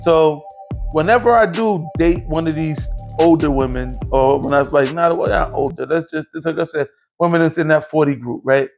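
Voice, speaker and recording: Gravelly rambling man in his forties. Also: fairly high pitch, moderate pace, faint music behind. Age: 40s. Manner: rambling, profane, storytelling. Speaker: podcast host. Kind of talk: casual podcast chat.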